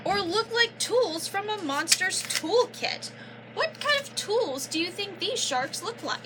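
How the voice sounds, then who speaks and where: A young woman reading a children's picture book aloud like a teacher, pausing to explain and prompt with questions. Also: extremely high pitch, animated, background noise. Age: young adult. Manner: instructive, prompting listeners with questions and affirmations.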